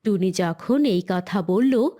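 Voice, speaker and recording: Lively woman narrating a children's story. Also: mid-range voice, slow. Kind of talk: dramatic scripted story narration.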